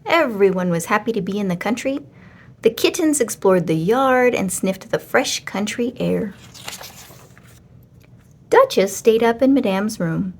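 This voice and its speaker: A woman reading a children's story aloud as an expressive storyteller. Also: mid-range voice.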